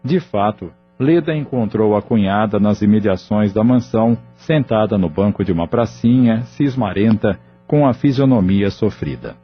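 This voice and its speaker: A man narrating an audio drama in a steady, measured way. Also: lowish voice, breathy edge. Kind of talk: scripted narration. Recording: dull lo-fi audio with faint music.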